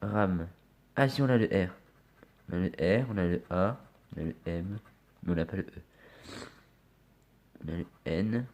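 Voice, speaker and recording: Man, low-ish voice, stuffy with a cold, casual commentary, mutters letters one by one. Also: normal speed, long pauses, a little mellow, muffled recording. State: has a cold. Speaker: scratch card vlogger. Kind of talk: casual commentary while scratching tickets.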